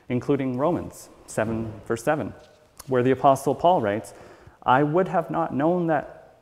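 A middle-aged man reading a written sermon aloud as a church elder, in a measured, earnest manner. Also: mid-range voice, a little mellow. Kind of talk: read sermon. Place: church sanctuary at a wooden pulpit, indoors. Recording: reverberant church hall.

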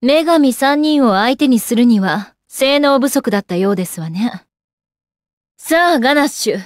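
A woman's voice: high-pitched, animated, moderate pace, no echo, clean studio sound. An actress playing a proud, composed young goddess warrior in a game, with refined, ladylike and formal speech. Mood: confident, stern. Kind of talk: Japanese anime game character acting. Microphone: close dry studio microphone.

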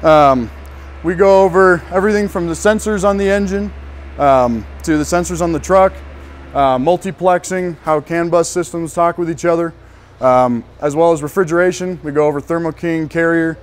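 Young man with a higher voice. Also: moderate pace, a little hiss or hum. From northern Colorado. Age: young adult. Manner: casual, hesitant with frequent ums and restarts. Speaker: student giving a shop tour. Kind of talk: walking tour guide talk. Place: large indoor diesel workshop.

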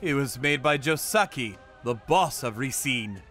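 An actor playing a hot-headed, boastful young yakuza with an emphatic, combative delivery in a scripted anime dub. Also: male voice, mid-range voice, animated, measured pace, pushed and bright, faint music behind. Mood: grave.

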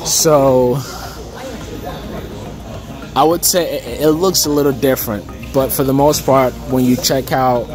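Chatty man touring a plane. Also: mid-range voice. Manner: relaxed, chatty, lots of "okay" and "uh". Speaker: aviation vlogger. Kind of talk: casual walkaround tour. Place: large aircraft hangar at an expo, indoors. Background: music and crowd chatter.

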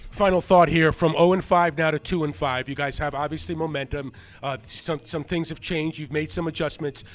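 Man, middle-aged, sports interviewer, slightly high pitch, detailed game recaps, handheld mic, indoor locker room. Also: fairly even intonation, normal speed. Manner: recaps plays in detail before asking. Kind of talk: postgame interview.

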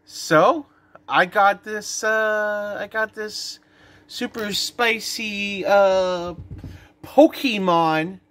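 A man's voice: sits high, extremely slow, fairly bright tone, dry recording. A young adult man talking informally and with playful drama as a vlogger telling a story, recorded on a phone held at arm's length indoors. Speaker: vlogger and host. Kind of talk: casual vlog storytelling, reading a short story aloud. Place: indoor room.

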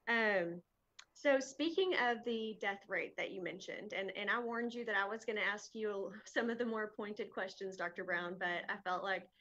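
A chatty woman host with a bright, forceful voice, asking questions in a friendly, easygoing way. The recording sounds somewhat dull and lo-fi. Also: mid-range voice, moderate pace. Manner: chatty, friendly, laughs easily. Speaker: chamber of commerce interviewer. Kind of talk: casual video interview.

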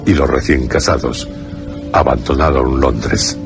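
Very deep, solemn male narrator. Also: gravelly. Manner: solemn, measured. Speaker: radio drama narrator. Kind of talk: scripted dramatic horror narration. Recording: radio drama with music behind.

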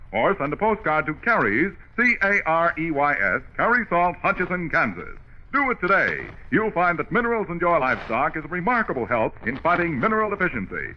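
High-voiced, emphatic vintage radio pitchman. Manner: emphatic, polished. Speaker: radio announcer. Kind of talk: 1940s radio advertising pitch. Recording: dull vintage 1940s radio recording.

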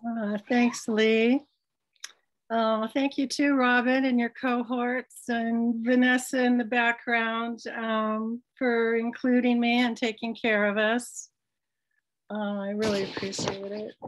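An older woman poet thanking the hosts, then reading her own poems in a slow, measured way on a video call from home. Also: on the high side, flat delivery, poor audio. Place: home room with curtains. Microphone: laptop webcam on video call.

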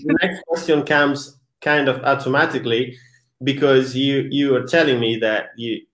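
A man with an Italian accent hosting a live online interview, slow and hesitant with many fillers. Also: mid-range voice, steady tone, fairly bright tone. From Italy. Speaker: host. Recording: echoey room.

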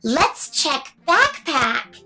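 A woman's voice: high voice, sing-song, drawn-out words, pushed and bright, faint music behind. A woman in her thirties reading a picture book aloud to young children, playful and exaggerated, asking the listeners questions.

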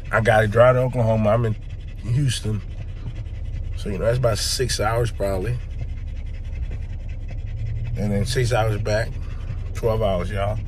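A man, chatting casually to viewers about his dog while sick and losing his voice, clearing his throat often. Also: fairly low pitch, darkish tone, a little hiss or hum, poor audio. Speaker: dog breeder vlogger. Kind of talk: casual vlog to camera. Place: parked car. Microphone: phone held at arm's length.

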